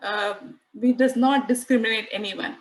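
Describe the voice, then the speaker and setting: A woman's voice: a little high, steady tone, normal speed, a little hiss or hum. A woman interpreting and explaining in an interview, earnest and full of "you know" fillers. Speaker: interpreter and co-guest. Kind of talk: interview, translating for the guest.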